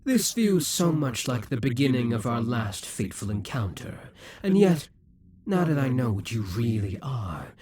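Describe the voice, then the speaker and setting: A man's voice: medium pitch, darkish tone, breathy edge. A man voicing a proud, possessive male demon king who taunts and schemes, sometimes dropping to a whisper. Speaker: voice actor in an audio roleplay. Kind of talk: audio roleplay acting.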